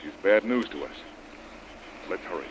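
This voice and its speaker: Jungle hero: very deep, brisk, gruff, officious, on a noisy vintage radio recording. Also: male voice, animated. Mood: brisk, impatient. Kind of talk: 1930s radio adventure acting.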